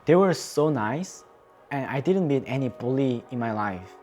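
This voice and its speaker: A young man from North Korea with a Korean accent, speaking English as a second language in an earnest, halting way, often restarting his sentences. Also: mid-range voice, expressive, dark tone, faint music behind. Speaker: advocacy speaker. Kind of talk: interview testimony.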